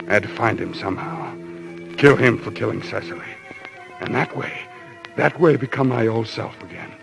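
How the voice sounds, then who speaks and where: A man's voice: fairly low pitch, vocal fry, exaggerated voice. An actor playing a haunted, guilt-ridden man losing his mind to ape-madness, in a brooding, melodramatic old-time radio style. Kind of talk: old-time radio thriller acting, first-person narration. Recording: vintage radio recording with music.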